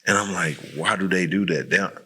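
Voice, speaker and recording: Guy podcast host w a low bright voice, blunt and sweary, just chatting his opinions. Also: a little fast. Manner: blunt, profanity-laced, slangy. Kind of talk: casual chat, candid opinion.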